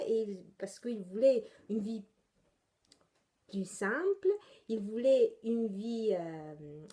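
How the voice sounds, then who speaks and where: A woman's voice: medium pitch, warm tone. A young woman with an Italian accent, hosting a knitting podcast in casual, rambling chat full of hesitations. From Italy. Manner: rambling, chatty, many hesitations and self-corrections.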